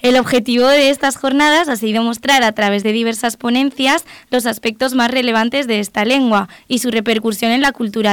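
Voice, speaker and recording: A woman's voice: on the high side, clean tone, no echo, clean studio sound. A woman with an accent from Spain narrating a scripted news report as a radio reporter. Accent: Spanish from Spain.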